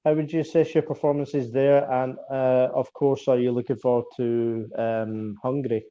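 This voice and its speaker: A man's voice: medium pitch, little pitch movement, normal speed, dark tone, highs cut off. A Scottish man hosting a darts podcast interview, asking questions in a stumbling, casual way with repeated words. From Scotland. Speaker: podcast host.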